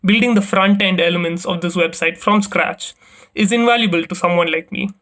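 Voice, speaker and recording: A man's voice: high voice, fairly quick, pushed and bright. A young man from India, a first-year college student, giving a formal, rehearsed class presentation about himself. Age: young adult. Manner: formal, rehearsed, reading from a script.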